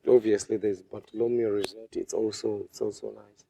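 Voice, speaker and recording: Brief: man in his 20s from Zimbabwe, Shona native, interview guest, dark mellow voice, halting and self-repeating. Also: lowish voice, fairly even intonation, gravelly. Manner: hesitant, repeats words while searching. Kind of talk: casual interview answers.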